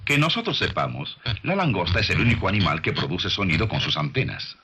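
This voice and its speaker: Low-voiced man narrating with lively intonation. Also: fairly quick, husky. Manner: didactic, rhetorical questions to the listener. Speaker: documentary narrator. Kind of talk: scripted nature documentary narration.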